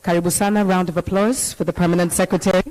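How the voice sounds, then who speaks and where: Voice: mid-range voice, steady tone, racing, darkish tone, rough recording. Conference moderator, upbeat and directive. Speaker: event moderator. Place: conference hall.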